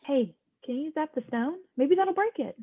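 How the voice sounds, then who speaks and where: A woman's voice: sits high, animated, moderate pace. A woman reading the part of a blunt, decisive teenage girl in an amateur table read. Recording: muffled, phone-like call audio.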